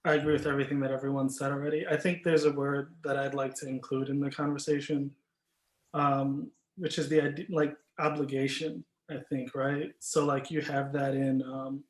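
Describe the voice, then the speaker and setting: Even-toned man in an echoey room. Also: mid-range voice, normal speed. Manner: questioning, informal, hedging. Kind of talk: casual panel discussion. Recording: echoey, reverberant room sound.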